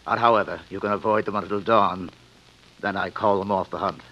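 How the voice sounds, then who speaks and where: An actor playing a sinister, courteous rich villain in old radio drama, speaking quietly and formally without hurry. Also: male voice, sits low, steady tone, a little fast. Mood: gloating threat. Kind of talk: 1940s-50s radio drama acting. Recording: dull old radio recording.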